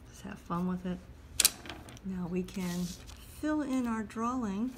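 A woman calmly talking through a step-by-step drawing lesson as she sketches at a table. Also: mid-range voice, noisy background. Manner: calm, unhurried, talks while drawing. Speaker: drawing instructor. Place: at a table indoors.

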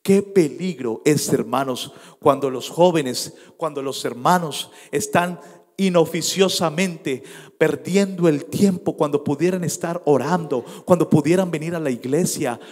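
A man in his thirties preaching a sermon as a pastor, stressing and stretching phrases, then dropping to quieter asides. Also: fairly high pitch. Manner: emphatic, with drawn-out stressed phrases and sudden drops to quieter asides. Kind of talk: sermon with scripture reading and storytelling. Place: church sanctuary, at a lectern. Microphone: handheld microphone. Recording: long hall reverb.

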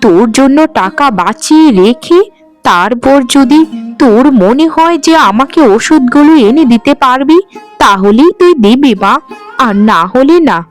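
A woman's voice: sits high, animated, a little slow. A woman playing a meek, ailing old mother in a cartoon, plaintive and self-pitying. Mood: plaintive, resigned. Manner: plaintive, submissive. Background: faint music.

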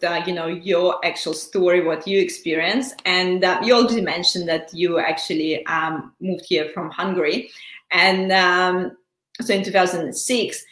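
A woman's voice: medium pitch, sharp tone, roomy sound. A young woman hosting a live stream interview over a video call, chatty and upbeat with frequent fillers. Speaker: host. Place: small room indoors. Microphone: earphone headset on video call.

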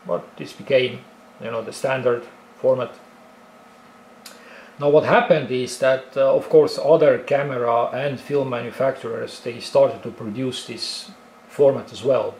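Estonian-accented man with a gravelly voice. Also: mid-range voice, steady tone, unhurried, darkish tone, reverberant, slight background noise. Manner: talks with many fillers and restarts, explaining step by step. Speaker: film photography hobbyist presenter. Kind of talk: casual explanatory tutorial.

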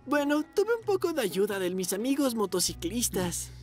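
An actor voicing an excitable, easily flustered young pizza-delivery motorbike in a children's cartoon, full of exclamations. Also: mid-range voice, moderate pace, faint music behind, natural voice. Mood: proud, excited. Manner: exclamatory, fretful and eager.

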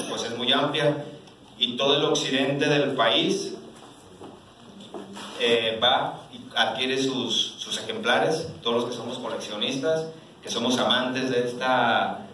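A man's voice: mid-range voice, fairly bright tone. A man in his thirties with a Mexican accent, speaking informally as an association president at a press conference. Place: auditorium stage, indoors. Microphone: handheld mic, recorded by distant camera. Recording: echoey hall, distant camera, background noise.

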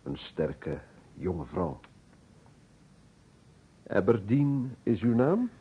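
An elderly professor of surgery in a radio drama, courteous and fond of talking. His very low voice is smooth and deliberate, heard through a narrow, muffled old recording. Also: sing-song, exaggerated voice. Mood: musing, courteous. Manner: deliberate, reminiscing, searching for names. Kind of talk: Dutch radio play acting.

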